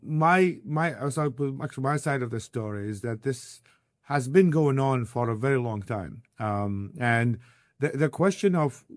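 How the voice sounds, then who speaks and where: A man's voice: medium pitch, expressive, unhurried, no echo, clean studio sound. A man from Iraq in his thirties, a podcast guest answering questions over a video call in an earnest, thoughtful way, with many fillers and restarts. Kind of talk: conversational interview answers. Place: remote call.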